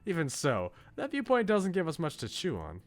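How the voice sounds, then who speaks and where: A man's voice: fairly high pitch, wildly swooping pitch, normal speed, pitched above the performer's own voice. A man reading aloud a visual novel's bookish male student, articulate, philosophical and politely opinionated. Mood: musing, conceding. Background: music.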